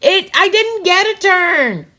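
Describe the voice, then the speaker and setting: A woman voicing squabbling young piglet schoolchildren in a storybook, whiny, excitable and plaintive. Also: very high voice, takes it slowly, voice pushed up, exaggerated voice. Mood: indignant, squabbling.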